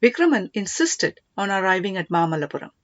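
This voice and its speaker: Female audiobook narrator, mid-pitched, scripted storytelling, close dry sound, slightly muffled. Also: big pitch swings, a little fast, no room sound.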